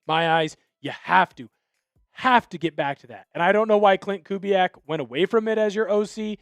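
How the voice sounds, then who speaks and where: A man's voice: high-pitched, normal speed, clean tone, no room sound. A young man from Washington State hosting a live football talk stream, opinionated and fired up as he reads out viewer texts. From Washington State, USA. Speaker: sports show host.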